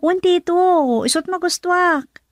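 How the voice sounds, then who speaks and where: Emotional young filipina, ilocano accent, high voice, dry close sound, radio drama. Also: female voice, fairly even intonation, unhurried, dry recording. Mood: bright, affectionate. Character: young woman, emotional niece and sweetheart Linlin.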